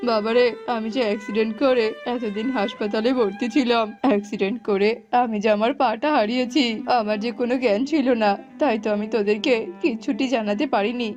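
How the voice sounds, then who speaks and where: A woman's voice: on the high side, steady tone, fast talker, few pauses, darkish tone, lightly acted. A woman voicing a poor, sorrowful, tender jackal father with a plaintive, exclamatory cartoon delivery. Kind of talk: cartoon voice acting. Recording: muffled, music underneath.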